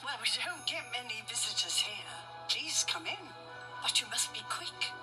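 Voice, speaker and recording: Woman acting kindly giantess, high voice, slightly distant, hospitable, anxious, storybook. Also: expressive, a little slow. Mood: welcoming. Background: music.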